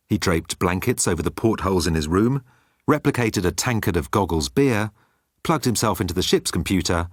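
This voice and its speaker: Male narrator, deep, very varied intonation, scripted comic sci-fi storytelling, dry studio sound. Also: breathy edge, no room sound. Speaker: audiobook narrator.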